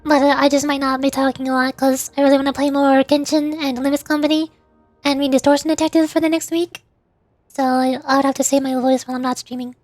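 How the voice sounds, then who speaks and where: A woman's voice: high voice, level tone, fast talker, no room sound, soft background music. A woman livestreamer chatting casually and playfully in a rambling way while gaming. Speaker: VTuber livestreamer.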